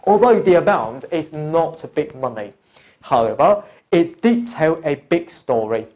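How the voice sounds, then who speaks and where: Mellow-voiced man teaching an accounting course. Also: a little high, animated, low-quality recording. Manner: deliberate, instructional, often addressing the learner. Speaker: accounting exam course tutor. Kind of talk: explanatory lecture, talking through examples.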